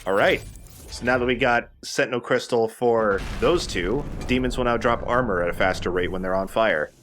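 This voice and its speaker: A man's voice: medium pitch, normal speed, fairly bright tone, slight background noise. A man giving casual, sweary and jokey commentary as he plays a video game. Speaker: let's play host, player. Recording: game music behind the voice. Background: video game music.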